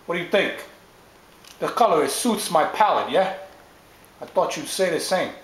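A man's voice: on the high side, animated, normal speed, reverberant, close to an everyday voice, rough recording. A man voicing a swaggering small-time wiseguy father who rambles about schemes in a tough-guy drawl and keeps nudging for agreement. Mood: scheming.

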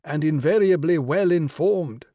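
A man's voice: dark tone, dry recording, clean studio sound. A man voicing an imperious royal older woman on the phone, rich and emphatic, dwelling on words. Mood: urgent. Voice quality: rich, emphatic, high put-on voice. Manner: grand, insistent, drawn-out words. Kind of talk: audiobook character voice.

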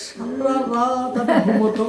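An actor performs an affectionate, poor, motherless youngster in a radio play. The delivery is pleading and coaxing, halting over repeated words, and the sound is roomy with echo. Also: mid-range voice, little pitch movement, background noise, lightly acted. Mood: wistful, affectionate. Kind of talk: emotional Bengali audio drama acting.

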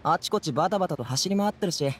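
ゲームで、男性声優が演じる明るく気さくな若い護衛。くだけた少年っぽい口調で話す。. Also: male voice, high-pitched, expressive, fast, slightly rough. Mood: friendly. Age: young adult. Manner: casual, breezy, boyish slang. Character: upbeat, friendly young man, transport guard. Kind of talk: Japanese anime game voice acting.

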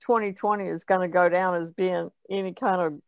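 A woman's voice: lowish voice, steady tone, fairly quick, creaky, no echo. A woman chatting casually as a co-host on a podcast. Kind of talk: casual chat.

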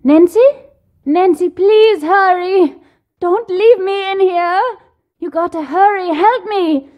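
Scared captive for a game: extremely high, slow, drawn-out plaintive cries for rescue. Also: female voice, dull audio. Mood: frightened, pleading. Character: frightened, pleading captive calling for rescue. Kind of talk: video game character dialogue.

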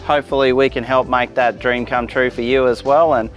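A man's voice: mid-range voice, fairly even intonation, brisk, soft background music. Upbeat man touring a factory. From Australia. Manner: upbeat, informal, gestures at fittings while walking. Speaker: company head giving a factory tour. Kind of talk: walk-through tour presentation. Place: large indoor caravan factory and van interior.

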